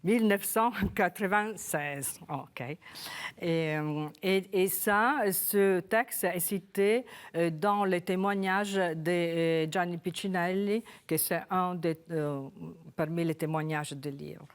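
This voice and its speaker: An Italian woman from Rome, an author being interviewed on television, speaking French with a Roman accent and pausing often to search for her words. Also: lowish voice, clear, resonant tone. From Italy. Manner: hesitant, searching for words, halting restarts. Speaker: author guest. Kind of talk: television interview with a read passage. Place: television studio.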